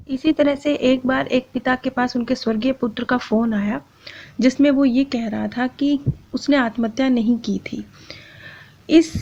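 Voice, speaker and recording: A woman narrating in a measured, earnest, explanatory way, as if presenting prepared accounts on a spiritual channel. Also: high-pitched, vocal fry, darkish tone. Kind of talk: scripted narration of true accounts. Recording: dull, lo-fi sound.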